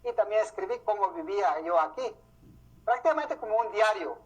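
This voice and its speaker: Husky-voiced man, halting speech. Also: sits high, lively intonation. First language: Mam. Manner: hesitant, many fillers and restarts. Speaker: author presenting his book. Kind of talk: unscripted talk. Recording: muffled radio broadcast sound.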